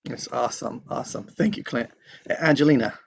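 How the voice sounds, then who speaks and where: A man's voice: medium pitch, a little fast, creaky, slightly rough. A man hosting a casual live session and introducing participants in an informal way. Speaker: host introducing table read cast.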